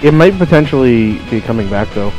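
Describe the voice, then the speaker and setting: Mid-pitched podcast man over soft music. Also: expressive, brisk, muffled recording. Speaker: podcast panelist. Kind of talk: casual discussion.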